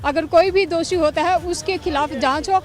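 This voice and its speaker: A woman's voice: extremely high pitch, moderate pace. A woman giving an earnest, pleading and insistent statement to reporters. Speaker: wife of an accused man appealing.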